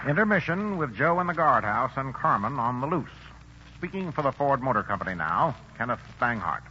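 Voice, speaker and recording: Male 1940s radio drama announcer, formal and animated; hissy, muffled old recording. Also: medium pitch, fairly quick. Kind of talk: scripted announcing.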